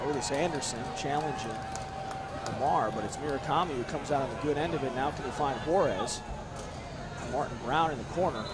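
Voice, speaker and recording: A man calling a live soccer match play by play, hoarse and losing his voice, his voice jumping up on big chances. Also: mid-range voice, fairly quick. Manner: excitable, voice jumps up on big chances. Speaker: play-by-play commentator. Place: outdoor soccer field. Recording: loud crowd and outdoor noise. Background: cheering crowd.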